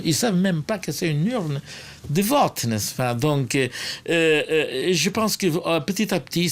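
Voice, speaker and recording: A middle-aged man from Portugal with a Portuguese accent, speaking earnestly in a documentary interview with many hesitations and tag questions. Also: mid-range voice. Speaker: sociologist interviewee.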